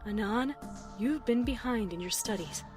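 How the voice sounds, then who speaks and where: A woman voicing a stern, disappointed pony schoolteacher in a cartoon fan fiction story, admonishing in a measured classroom manner. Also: on the high side, lively intonation, a little mellow, soft background music, lightly acted.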